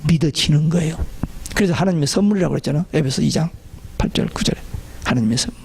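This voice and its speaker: A man's voice: on the high side, fast, creaky, darkish tone, slight background noise. A man preaching a church sermon as a pastor, exhorting listeners and putting questions to them.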